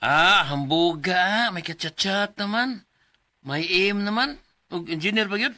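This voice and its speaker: A man's voice: sits high, unhurried, pushed and bright, exaggerated voice. An actor playing a teasing, skeptical male buddy with a mocking, exclamatory delivery in a radio drama.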